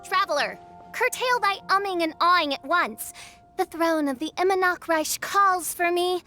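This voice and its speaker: A woman's voice: extremely high pitch, normal speed, bright, forceful tone, soft background music. A woman voicing a grandiose teenage girl who poses as a princess in a video game, declaiming pompously in archaic phrases. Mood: imperious. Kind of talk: video game cutscene acting.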